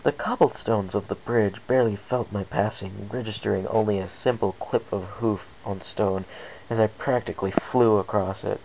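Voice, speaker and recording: A man with a low, creaky voice reading a scripted first-person adventure story as narrator. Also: steady tone, moderate pace, a little mellow, poor audio. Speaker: amateur story reader. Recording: faint background hiss.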